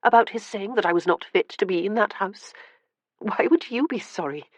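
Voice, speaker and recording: A man's voice: sits high, very animated, moderate pace, dry recording, voice pushed up. A man voicing a young woman teacher, composed though hurt, speaking earnestly and reflectively.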